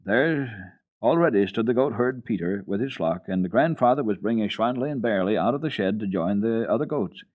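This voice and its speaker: A man reading children's literature as a radio storyteller, in an expressive, dramatic style. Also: on the low side, brisk, narrow, tinny audio. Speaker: storyteller, radio program host.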